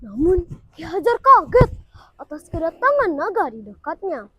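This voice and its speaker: A schoolgirl from Indonesia tells a story in a very high child's voice. Her delivery is a rehearsed contest performance with big swings in pitch. The sound is dry and close. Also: very animated, normal speed, fairly bright tone, dry recording.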